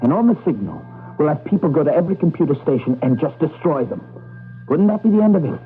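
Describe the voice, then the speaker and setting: A man's voice: a little high, big pitch swings, fast talker. An actor playing a defiant, impassioned male rebel with an urgent, emphatic delivery in a 1980s radio drama. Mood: urgent, questioning. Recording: tinny vintage radio broadcast, faint music behind.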